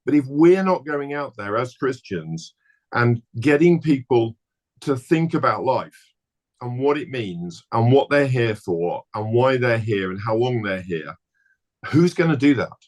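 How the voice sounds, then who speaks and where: A man delivers a passionate, emphatic appeal to his audience. His voice sits at an ordinary mid pitch. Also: animated, unhurried, a little mellow, low-quality recording. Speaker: business speaker. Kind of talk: passionate exhortation at an online seminar.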